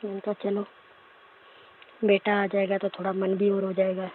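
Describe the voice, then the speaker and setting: Female actor for a coy, inviting stepmother in a phone-call drama; soft, casual, telephone-band sound. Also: mid-range voice, completely flat delivery, moderate pace, slight background noise, close to an everyday voice. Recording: tinny narrow-band phone line.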